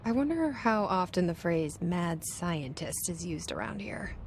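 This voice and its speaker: A dry-witted young heroine. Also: female voice, fairly low pitch, expressive, a little slow, gravelly, background noise. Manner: dry, understated. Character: determined young female bureau director.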